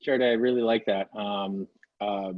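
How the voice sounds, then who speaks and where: A man's voice: on the low side, fairly even intonation, measured pace, no echo. A man on a live online panel, asking thoughtful, hedging questions. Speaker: panelist in healthtech. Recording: dull lo-fi video call audio.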